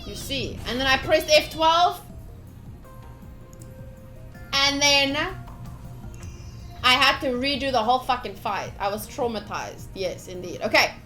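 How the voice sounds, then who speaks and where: A woman livestreaming a visual novel playthrough, reading the text aloud with excitable, playfully dramatic and casual commentary. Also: high voice, moderate pace, soft background music. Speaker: streamer playing a visual novel.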